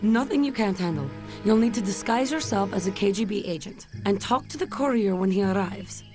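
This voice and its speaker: A composed female spy from a video game, played by an actress, with a Russian accent. She briefs like a professional and teases dryly, in a mid-pitched voice. Music plays clearly behind her. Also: a little fast, mellow, close to an everyday voice. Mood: briskly businesslike. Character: cool, sultry female Soviet spy, wryly in control. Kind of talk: video game cutscene acting.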